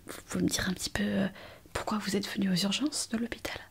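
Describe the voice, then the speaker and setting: A woman playing a kind, eager young hospital intern in an ASMR roleplay, speaking in a soft, breathy whisper with an attentive, hesitant manner. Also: medium pitch, fairly even intonation, quick pace. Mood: excited, chatty. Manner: attentive, hesitant, gently reassuring. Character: kind, eager, chatty young female hospital intern on her first night shift.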